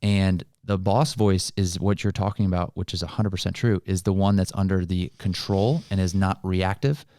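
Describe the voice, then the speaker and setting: A man's voice: sits low, steady tone, normal speed, few pauses, a little mellow, dry recording, clean studio sound. A man co-hosting a podcast, explaining things in a casual, motivational conversational style. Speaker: podcast host.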